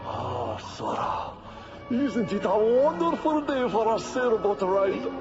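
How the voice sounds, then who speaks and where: An actor playing an alien warlord turned genial and dreamy, doddering and effusive in old-time radio drama style. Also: male voice, high voice, sing-song, takes it slowly, music in the background, full character voice. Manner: doddering, effusive, full of vague murmurs. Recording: noisy, dull vintage radio recording.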